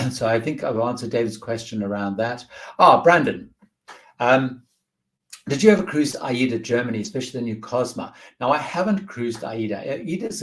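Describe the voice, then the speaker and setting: A man hosting a live question-and-answer stream, casually reading out viewer questions and giving rambling, friendly advice. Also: mid-range voice. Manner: rambling, many fillers and restarts, friendly advice. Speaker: host of a live cruise Q&A.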